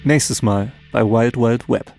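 Male podcast co-host, conversational scripted narration with clear music behind. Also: medium pitch, steady tone, takes it slowly.

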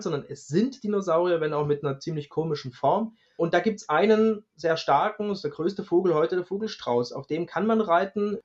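Male expert guest: high voice, informal explanatory delivery, slightly muffled recording. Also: darkish tone. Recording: slightly dull lo-fi sound.

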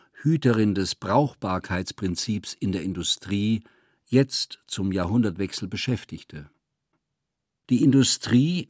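A man's voice: fairly low pitch, a little mellow, dry recording, studio quality. A man reading a literary story aloud as scripted narration. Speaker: reader.

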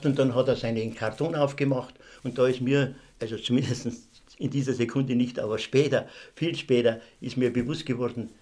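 A man's voice: mid-range voice, steady tone, darkish tone. Older male eyewitness, mid-range voice, reflective unscripted recollection with repetitions and self-corrections. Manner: reflective, halting, repeats and corrects himself. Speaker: eyewitness interviewee. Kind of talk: spoken recollection in an interview.

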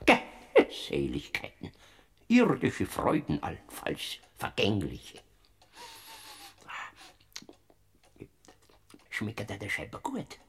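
Boandlkramer, a raspy creaky Death. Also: male voice, fairly low pitch, wildly swooping pitch, a little slow, a bit bright, exaggerated voice. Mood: hesitant. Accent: Bavarian. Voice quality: gravelly, raspy, drawling. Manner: hesitant, wheedling. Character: Boandlkramer, timid creaky male Death figure. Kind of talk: classic radio play acting.

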